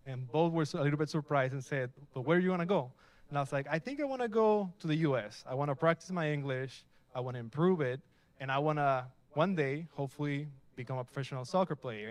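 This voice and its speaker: Upbeat man talking to a live crowd. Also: fairly high pitch. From Mexico. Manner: casual, upbeat, asks the audience questions. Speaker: meetup speaker. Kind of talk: live tech meetup talk. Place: event space with a live audience.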